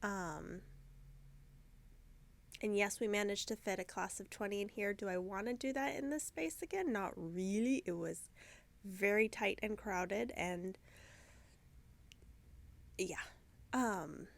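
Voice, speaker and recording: Croaky, congested woman chatting casually. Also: medium pitch, takes it slowly, pauses often. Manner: chatty and rambling, self-deprecating, loses her train of thought. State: congested, croaky from sinus trouble. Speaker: yarn shop owner and podcast host. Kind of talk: casual video podcast chat. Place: her yarn shop, indoors. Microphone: camera mic, talking alone to camera.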